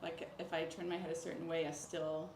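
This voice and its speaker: A woman's voice: sits low, little pitch movement, brisk. A woman speaking informally as a patient, casually recounting symptoms with lots of "like" and quoted remarks. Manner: informal, peppered with "like", quoting others. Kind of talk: casual conversational account of symptoms. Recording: roomy echo with clear background noise.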